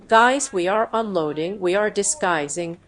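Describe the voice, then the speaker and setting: A computer-generated woman's voice dubs the whole video in a mid-range pitch. Her phrasing is stiff and even, with the slightly odd word choices of a machine translation. The voice-over is clean and close. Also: measured pace, faint music behind. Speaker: AI dub voice for every speaker.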